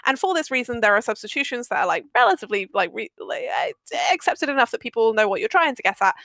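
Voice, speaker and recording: Woman, higher-pitched, casual chat, emphatic, rambling, opinionated, very dry sound. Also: big pitch swings, fast talker, fairly bright tone, no echo. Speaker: audio drama maker. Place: live online group stream.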